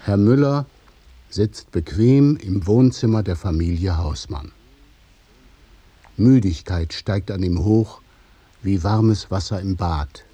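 A man narrating a literary radio play in measured, observational short phrases. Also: deep voice, dark tone, breathy edge, no room sound, heavily acted.

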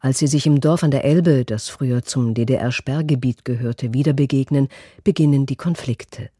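Mellow husky male announcer. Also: medium pitch, normal speed, no echo, studio quality. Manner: plain informative read. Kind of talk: broadcast introduction.

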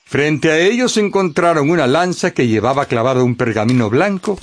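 A man narrating a scripted story for radio as an expressive storyteller. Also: on the high side, moderate pace.

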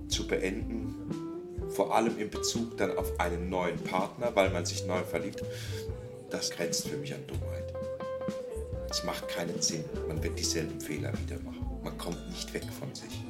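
Middle aged guy musing, deep flat voice, echoey room, loud guitar. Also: normal speed. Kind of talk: reflective interview talk. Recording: roomy, loud guitar music underneath.

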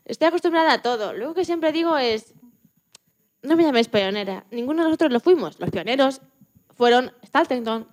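A woman from playing a lively, joking retired Antarctic scientist in her eighties in a radio interview, often restarting her sentences. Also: on the high side, natural voice. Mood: matter-of-fact. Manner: chatty, joking, halting with restarts. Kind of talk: scripted mock radio interview.

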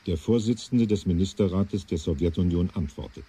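A man's voice: sits low, fairly quick, mellow, husky. A man playing a sober, ominous narrator and announcer in scripted radio-play narration.

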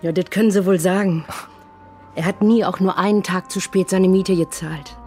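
A woman's voice: on the low side, steady tone, moderate pace, mellow, faint music behind, lightly acted. An actress playing a naive, accommodating landlady in a radio drama, talkative and a little hesitant. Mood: confiding, flattered. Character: chatty, naive, accommodating landlady, easily flattered.

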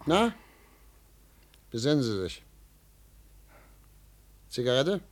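An actor in a 1950s radio drama playing a stern, insistent interrogator with a commanding, emphatic manner. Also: male voice, mid-range voice, sing-song, takes it slowly. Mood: impatient, commanding. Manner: sharp commands, pressing questions, emphatic swings.

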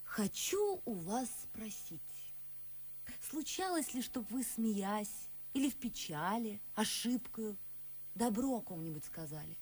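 An actress playing a cool, proud young gentlewoman in a verse radio drama, tart and cutting. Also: mid-range voice, lively intonation, slow pace, slight background noise. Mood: cold, cutting. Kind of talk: Russian classical verse radio drama acting.

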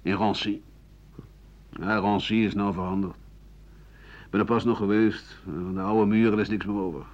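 Radio drama, male actor as world-weary elderly physician-writer, lowish pitch, sour disdain; dull 1980 recording. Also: little pitch movement, moderate pace, long pauses, close to an everyday voice. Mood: weary, grumbling. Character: embittered old French doctor-writer looking back on life. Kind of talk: radio play acting.

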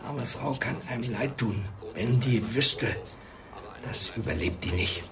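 An actor playing a chatty, secretive pensioner in his sixties in a radio drama, halting and confiding. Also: mid-range voice, crowd noise, natural voice. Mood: confiding, sorrowful. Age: 60s. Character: chatty, secretive old pensioner, gossipy neighbour. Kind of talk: naturalistic radio drama dialogue.

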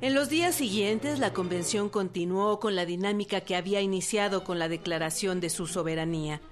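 A woman giving formal, measured narration in a scripted historical radio drama. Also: mid-range voice, faint music behind.

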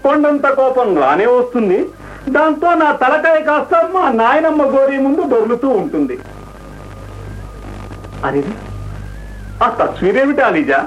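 An actor playing a garrulous, fawning comic servant of a prince in a radio play, theatrical and full of exclamations. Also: male voice, very high-pitched, very animated, a little slow, pauses often, faint music behind, heavily acted. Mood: fawning, chattering. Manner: exclamatory, theatrical, mock-pleading. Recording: muffled noisy old radio recording.

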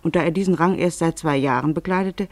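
A narrator reading scripted literary prose for a radio play in a measured, explanatory, mock-formal way. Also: medium pitch, no room sound.

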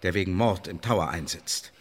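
An actor playing a stern, formal official of an Elizabethan spy agency in a German radio play. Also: male voice, low voice, breathy edge. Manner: formal, bureaucratic, measured. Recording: reverberant hall.

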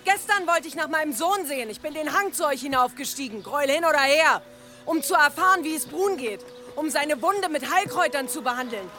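A woman's voice: high voice, expressive, fairly quick, bright, forceful tone, faint music behind. An actress playing a fierce pagan village mother in an audio drama, blunt and challenging. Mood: defiant, accusing.

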